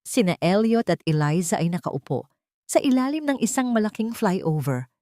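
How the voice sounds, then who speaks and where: A woman's voice: medium pitch, very animated, moderate pace, warm tone, no room sound, clean studio sound. A woman narrating a scripted audio drama in a dramatic storytelling style.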